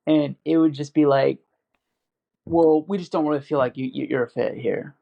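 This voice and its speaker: A man's voice: on the high side, normal speed, gravelly. A man in casual podcast chat, rambling with lots of fillers and restarts. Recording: dull lo-fi audio.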